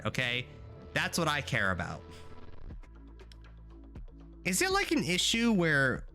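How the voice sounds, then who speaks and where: A young man streaming himself coding, chatting casually with viewers, swearing and getting excited as he works. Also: on the high side. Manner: excitable, swearing, talks to chat while coding. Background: faint music.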